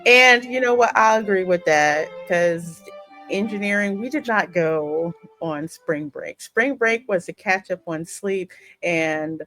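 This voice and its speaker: A woman gaming host with a fairly low voice and game music behind her. She is chatty and playful, moving between reading dialogue and casual teasing commentary. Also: slow pace, a bit bright, no room sound.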